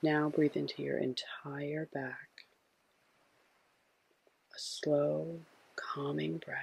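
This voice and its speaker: A man with a husky, breathy voice gently guiding a sleep meditation in a soothing, hushed way. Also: fairly high pitch, flat delivery, very slow, poor audio. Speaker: meditation guide.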